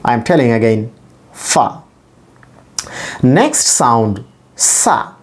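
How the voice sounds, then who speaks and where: Slow, deliberate male pronunciation teacher. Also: medium pitch, big pitch swings. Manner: deliberate, repetitive explaining, sounding out phonemes. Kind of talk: instructional pronunciation lesson to camera or screen.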